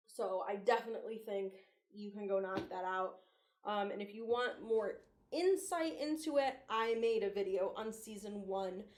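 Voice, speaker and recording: A woman's voice: mid-range voice, moderate pace, darkish tone, reverberant. A woman chatting casually as a video host giving commentary, playful and teasing, with the odd mild swear. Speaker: YouTube host reviewing analog horror.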